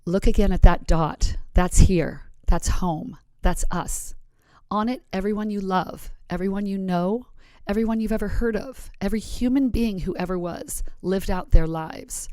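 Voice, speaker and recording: A woman from Arizona co-hosting a podcast, chatting in a relaxed and reflective way. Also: medium pitch, moderate pace, dry recording. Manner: relaxed and reflective, reads a quote aloud. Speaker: podcast co-host. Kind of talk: casual podcast chat.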